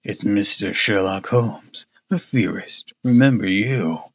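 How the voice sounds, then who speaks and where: A man's voice: lowish voice, slow, a bit bright, pitched below the performer's own voice, heavily acted. A man putting on a wheezy, blustering stout inspector. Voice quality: wheezing, muffled husky tone. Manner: blustering, self-important, repeats himself. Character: stout red-faced pompous police inspector, middle-aged man.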